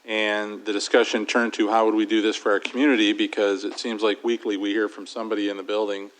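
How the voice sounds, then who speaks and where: Low flat-voiced man explaining a proposal. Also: fast talker, low-quality recording. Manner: plain explanatory with fillers. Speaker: township treasurer. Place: township board meeting room.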